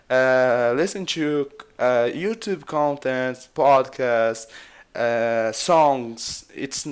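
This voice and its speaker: Slow, unhurried Brazilian man giving advice. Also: fairly high pitch, clear, resonant tone. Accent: Brazilian Portuguese. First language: Portuguese. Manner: hesitant, many filler uhs, repeats himself. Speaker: language vlogger. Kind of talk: casual advice monologue.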